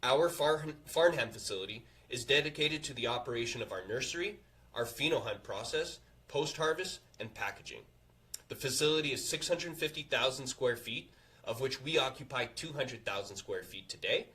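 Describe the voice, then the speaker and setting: A man reading a scripted earnings presentation to investors as a company executive, in a formal, confident, measured way. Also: mid-range voice, bright, forceful tone.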